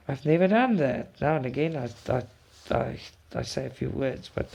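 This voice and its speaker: A woman's voice: very deep voice, vocal fry, warm tone, breathy edge. A woman from South Africa whose first language is Afrikaans, chatting casually and effusively with viewers as a cross-stitch channel host. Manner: chatty, effusive, repeats words. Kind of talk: casual chat with live viewers.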